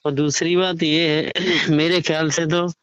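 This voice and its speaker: A man's voice: a little high, little pitch movement, measured pace, a bit bright, clean tone, lo-fi sound. A man explains his disapproval in an informal phone conversation, often asking rhetorical questions. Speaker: religious storyteller-performer giving his opinion. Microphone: recorded phone call.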